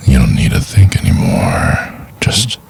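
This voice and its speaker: A man acts out an obsessive, tender yandere boyfriend in an ASMR roleplay. The voice is extremely low and breathy with a gravelly crackle, coaxing slowly and intimately. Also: very animated, a little mellow, full character voice. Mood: controlling, coaxing. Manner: slow, coaxing, intimate, lingering on words.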